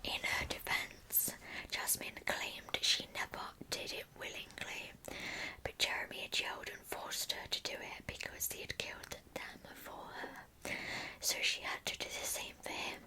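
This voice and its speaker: A woman narrating a true crime story as ASMR, in a breathy, unvoiced whisper close to the microphone with slow, deliberate storytelling. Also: rough recording.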